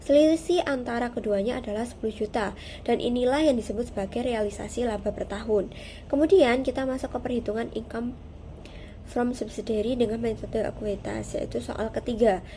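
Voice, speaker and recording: A woman's voice: fairly high pitch, brisk, mellow, slight background noise. A young woman giving a prepared class presentation as a student, explaining step by step in a formal, methodical way. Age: young adult.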